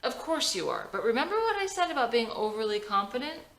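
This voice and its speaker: A woman playing a patient, encouraging father in a script reading, reassuring and gently chiding. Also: fairly high pitch, very animated, fast talker, voice pushed up. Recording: roomy echo.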